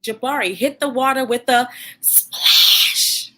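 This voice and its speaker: Female children's read-aloud host: high, bright voice, expressive teacherly delivery, encouraging toward young listeners. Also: slow, poor audio.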